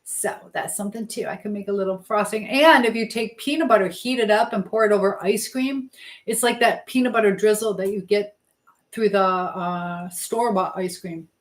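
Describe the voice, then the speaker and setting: A woman's voice: mid-range voice, normal speed. A woman hosting a casual live stream while busy in the kitchen, chattily talking to herself and to her viewers and reading out comments. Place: indoors in a kitchen. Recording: echoey room with clear background noise.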